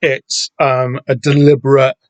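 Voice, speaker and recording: A man's voice: mid-range voice, flat delivery, unhurried, no room sound. Rambling man, dry close sound. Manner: rambling and digressive, many fillers and self-corrections. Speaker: podcast host. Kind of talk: solo comic book discussion podcast. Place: home room with door open on a summer day.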